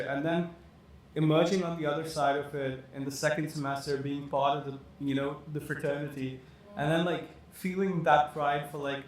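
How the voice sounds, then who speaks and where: Rambling young man in an echoey room. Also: fairly high pitch. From Dubai. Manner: rambling, many fillers and "like"s. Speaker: fashion designer guest. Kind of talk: casual interview talk. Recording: echoey room with faint noise.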